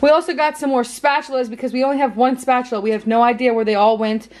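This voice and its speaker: A woman's voice: fairly high pitch, steady tone, quick pace, a little mellow, slight background noise. A young woman from Pennsylvania chatting casually through a grocery haul vlog in her home kitchen, talking to a handheld camera at arm's length. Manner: chatty, bubbly, teasing. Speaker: vlogger.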